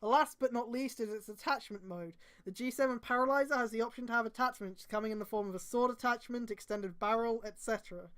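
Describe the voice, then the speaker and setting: A woman's voice: a little high, fast talker, few pauses, a bit bright. A woman streamer reading fan fiction aloud, with sweary, exasperated commentary and emphatic delivery. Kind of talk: mocking read-aloud with casual commentary.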